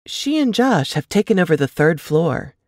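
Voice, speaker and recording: A protective, practical older sister from a novel, voiced by a woman narrator. She is homely and gently bossy, with a lively, melodic delivery. The sound is dry and close. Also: lowish voice, normal speed, no echo. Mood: chatty, caring.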